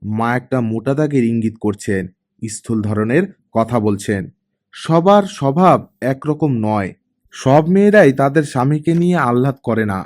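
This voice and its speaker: A man's voice: medium pitch, expressive, moderate pace, darkish tone. A man reading a work of fiction as an audiobook narrator, in a dramatic storytelling style.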